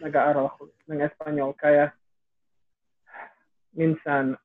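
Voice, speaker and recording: A young American man talks as a podcast guest over a thin, phone-like line with a dry sound. His voice sits a little high, and he pauses often and stops to search for words. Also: level tone, no echo. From United States. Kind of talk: casual conversation.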